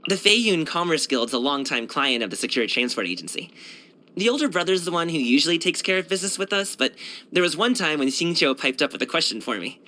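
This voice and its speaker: Cheerful young kung fu dancer for a game: bright, animated, breezy, casual slang. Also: male voice, fairly high pitch, a little fast. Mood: chatty, cheerful. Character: upbeat young male kung fu street dancer and courier. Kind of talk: video game character voice lines.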